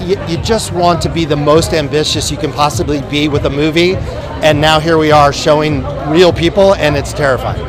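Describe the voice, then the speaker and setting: A man with a fairly high voice, a film producer, talks excitedly with plenty of "um"s at an outdoor premiere. Clear crowd noise and music surround him. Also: moderate pace. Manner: excited, hesitant fillers. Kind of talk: red carpet interview. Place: outdoor premiere red carpet.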